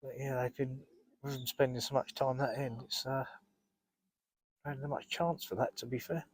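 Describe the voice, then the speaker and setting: Bunged-up guy rambling on his fishing vlog by the lake, dry close sound, keeps clearing his throat. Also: medium pitch, level tone, moderate pace, dry recording, low-quality recording. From England. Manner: rambling, repeats himself, clears throat often. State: congested, blocked up with a cold. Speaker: carp fishing vlogger. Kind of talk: casual chat to camera. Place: outdoors by a lake.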